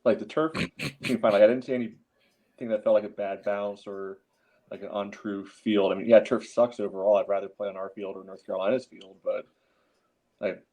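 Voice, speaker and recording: A man's voice: fairly low pitch, steady tone, moderate pace, mellow. A man co-hosting a casual sports debate in a blunt, skeptical, argumentative way, with slightly muffled audio. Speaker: podcast co-host.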